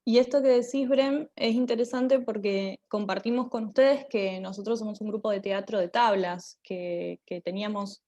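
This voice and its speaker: A woman with an Argentine accent giving an informal online talk, often halting as she searches for her words. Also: mid-range voice, fairly even intonation, mellow. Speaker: theatre group member giving a talk.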